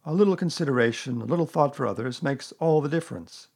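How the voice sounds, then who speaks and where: A man's voice: mid-range voice, fast talker, darkish tone, slightly rough. A man voicing a gloomy, put-upon donkey who grumbles mournfully and sarcastically in a storybook reading. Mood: gloomy grumbling.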